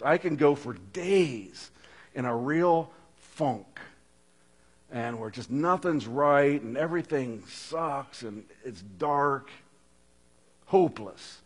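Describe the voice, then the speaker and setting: A man preaches slowly and earnestly, his voice a little raised in pitch and slightly husky. He swings from loud emphasis down to quiet, hushed lines. A long hall reverb hangs around every phrase. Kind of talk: sermon.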